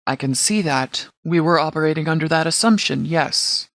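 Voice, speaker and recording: An actor playing a stern, composed sheriff of a post-apocalyptic militia in a video game, speaking in a measured, formal, authoritative way with clipped orders. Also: male voice, a little high, fairly bright tone, no echo. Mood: commanding, grave. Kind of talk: scripted video game dialogue.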